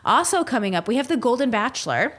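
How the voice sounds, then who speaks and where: A woman's voice: on the high side, big pitch swings, fast, a bit bright, slightly rough. A woman hosting a podcast, chatting casually and enthusiastically about reality TV and celebrity gossip, with a teasing edge. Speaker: podcast host. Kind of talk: casual pop-culture chat.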